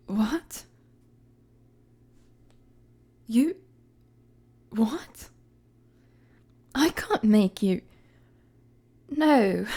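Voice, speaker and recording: A woman playing a weary, guarded young queen in a dramatic audio roleplay, with a soft, breathy voice and measured, formal, hesitant phrasing. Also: mid-range voice, heavily acted. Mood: flustered, disbelieving. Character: weary young queen, regal, guarded, firm with her friend.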